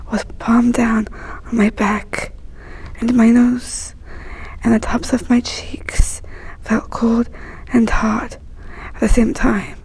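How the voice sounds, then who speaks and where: A woman reading a children's story aloud in a hushed, breathy, whispery storytelling voice. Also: on the high side, poor audio.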